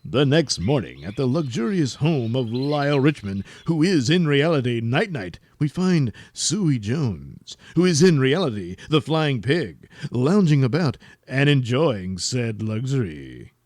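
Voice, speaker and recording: A man narrates a comic serial from a script. His pitch is average, and the delivery is dramatic storytelling that sets up each scene. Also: big pitch swings, a little slow, hardly any pauses, darkish tone.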